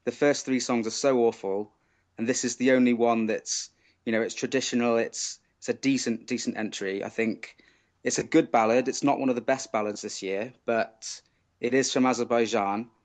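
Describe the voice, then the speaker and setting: A man on a panel giving animated, emphatic opinions, often repeating words for stress. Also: medium pitch, normal speed. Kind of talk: opinionated music commentary.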